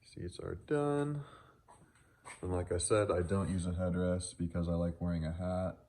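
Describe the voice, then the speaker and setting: A man with a deep, low voice gives a casual, unscripted walkaround vlog. He sounds tired and offhand. His manner is crude and joking, with easy swearing. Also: normal speed, warm tone, a little hiss or hum. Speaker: vlogger showing truck upgrades.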